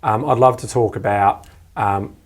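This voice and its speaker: An Australian man with a husky, somewhat low voice hosts a podcast interview. He talks in an informal, colloquial way, like someone chatting across a table. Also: fairly even intonation, measured pace. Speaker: podcast host. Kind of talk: casual interview chat about economics.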